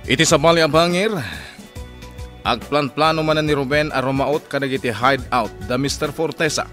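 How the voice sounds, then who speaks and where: A man's voice: medium pitch, fairly bright tone. A man delivers scripted narration for a radio drama. He is projected and emphatic, announcing each turn of the story dramatically, with light music behind him.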